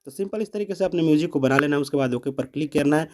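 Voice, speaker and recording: A man's voice: a little high, rapid-fire, faint music behind. A man presenting a step-by-step app tutorial, explaining and repeating each step.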